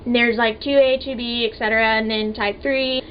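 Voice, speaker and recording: A woman's voice: a little high, steady tone, normal speed, lo-fi sound, a little hiss or hum. A twenty-year-old woman telling her own story in a casual, chatty way, full of "like" fillers. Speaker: vlogger. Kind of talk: personal storytelling to camera.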